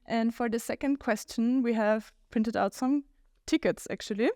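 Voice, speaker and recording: Woman hosting a podcast, friendly, asks questions, slightly high voice, dry sound. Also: moderate pace, mellow, no room sound. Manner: friendly, laughs often, short backchannels. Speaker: podcast host. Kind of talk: casual interview.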